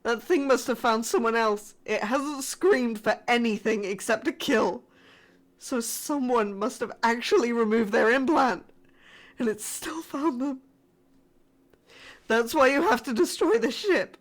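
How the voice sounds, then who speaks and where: Casting: actress for female starship crew member in horror audio drama. High voice, slow halting delivery, frightened but defiant. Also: lightly acted. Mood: grave, urgent.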